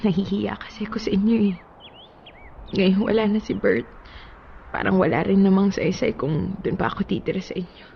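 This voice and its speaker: A woman's voice: mid-range voice, moderate pace, creaky, muffled recording, slight background noise, lightly acted. An actress playing a grieving, pleading young woman who has lost her partner, in a Tagalog radio drama. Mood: subdued, sad.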